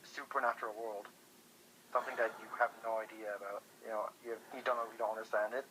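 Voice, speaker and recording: A man's voice: fairly low pitch, little pitch movement, quick pace. Man on a noisy phone call. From Europe. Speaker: featured phone guest. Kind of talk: casual phone chat. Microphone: telephone.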